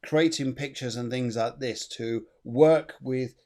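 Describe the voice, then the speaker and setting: A man's voice: mid-range voice, slow, a little mellow. A middle-aged man hosting a live online chat show, chatty and rambling, laughing often. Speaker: host.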